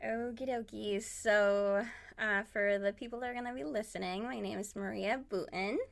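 A woman's voice: medium pitch, fairly even intonation, moderate pace, vocal fry. A woman from Chile whose native language is Spanish, chatting casually about herself in a giggly, rambling way as an interview guest. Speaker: podcast guest, illustrator and artist. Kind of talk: casual chat, telling own story.

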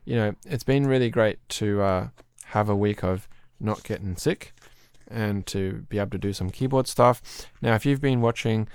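A man hosting a podcast, chatting casually and rambling a little, clearing his throat often, his voice slightly throaty. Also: lowish voice, normal speed, a little mellow, dry recording. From Australia. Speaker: podcast host. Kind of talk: casual chat about keyboards.

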